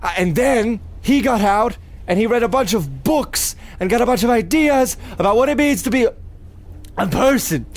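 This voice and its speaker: A man's voice: extremely high pitch, lively intonation, moderate pace. A man playing a nervous, rambling young mad scientist in a parody radio play, flustered, evasive and dramatic. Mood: rambling, defensive.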